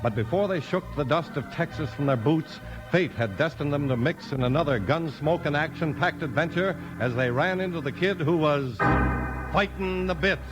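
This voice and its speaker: A man's voice: on the high side, normal speed, poor audio. A man announcing and narrating in scripted 1950s radio style, enthusiastic and emphatic. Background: music.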